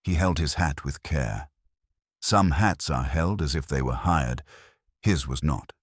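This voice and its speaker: A man narrating a detective story in a measured, dry, formal storytelling manner. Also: very deep voice, slightly rough, no room sound. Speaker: audiobook narrator.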